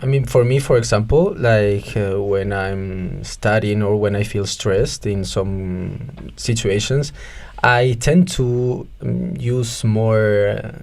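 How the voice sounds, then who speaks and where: A man's voice: lowish voice, fairly even intonation, slow, gravelly, darkish tone. A young man from Spain chatting on a volunteer radio show, hesitant with many fillers but playful and joking. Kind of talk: casual radio chat.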